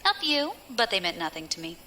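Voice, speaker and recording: A woman's voice: mid-range voice, sing-song, fairly quick, bright, forceful tone, a little hiss or hum. An actress playing a cool, scheming female space pirate leader in an audio drama, wry, teasing and self-assured. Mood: smug, playful.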